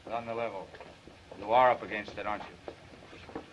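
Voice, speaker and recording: A man's voice: fairly low pitch, steady tone, normal speed, natural voice. An actor playing a brash, flirtatious, stubbornly protective newspaperman with an American accent, bantering in slangy, persistent 1930s film style. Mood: earnest, coaxing. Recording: muffled, hissy 1930s film soundtrack.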